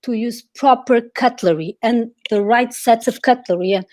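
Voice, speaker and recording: A woman from Portugal, an etiquette consultant, chatting on a video call with lively restarts. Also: on the high side, normal speed. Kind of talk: casual video call chat.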